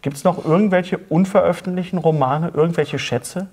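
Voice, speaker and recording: Chatty middle-aged man, slightly high voice. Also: normal speed. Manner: chatty, jokey, animated gestures. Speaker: talk-show host, interviewer. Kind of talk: casual interview chat. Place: small dark studio set, seated at a table.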